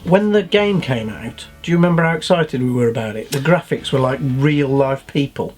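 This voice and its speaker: A man's voice: mid-range voice, moderate pace, darkish tone, slight background noise. A British man co-hosting a casual film discussion, speaking bluntly about his opinions. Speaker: podcast co-host.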